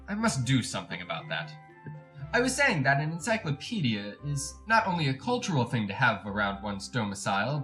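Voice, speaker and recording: A man's voice: mid-range voice, a bit bright. An actor playing a chatty, over-polite, creepy encyclopedia salesman who bullies his dog, in a retro radio drama monologue. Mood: fussy, self-satisfied. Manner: fussy, over-polite, wordy, theatrical.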